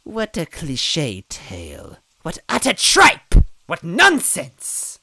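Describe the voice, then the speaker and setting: A woman's voice: lowish voice, wildly swooping pitch, slow, pushed and bright, slightly rough, no echo, studio quality, voice pushed up, heavily acted. A woman voicing a hideous, gleefully cruel bean witch in a storybook reading, taunting with a theatrical sneer. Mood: scornful.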